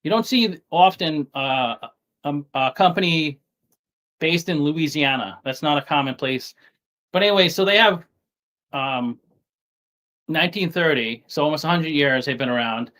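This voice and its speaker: Halting man with a softened New York accent. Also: a little high. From Staten Island, New York City. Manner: halting, repeats words and restarts. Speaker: guest investor. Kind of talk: casual interview chat.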